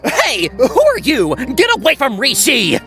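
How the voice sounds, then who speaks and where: A woman's voice: a little high, very animated, moderate pace, a bit bright, faint music behind. A woman voicing a haughty, petulant young crown prince in an anime fan dub, indignant and whiny. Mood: angry.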